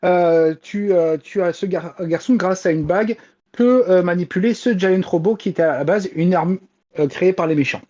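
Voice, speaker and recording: A woman's voice: on the low side, moderate pace, warm tone. A woman in casual panel chat as a show columnist, explaining insistently, on a slightly dull recording. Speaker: columnist on an anime and manga show. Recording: slightly dull, muffled sound.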